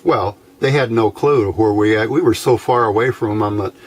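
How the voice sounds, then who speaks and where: An older American man giving an informal technical explanation, unhurried and folksy, pausing often between short phrases. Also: on the low side, rough recording. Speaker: hobbyist radio builder, presenter.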